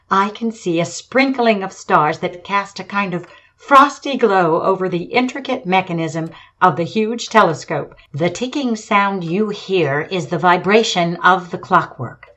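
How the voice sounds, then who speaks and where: A woman's voice: lowish voice, fairly bright tone. A woman playing a formal, courteous radio commentator reporting and interviewing in a 1930s-style radio drama. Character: poised female radio field commentator interviewing an astronomer.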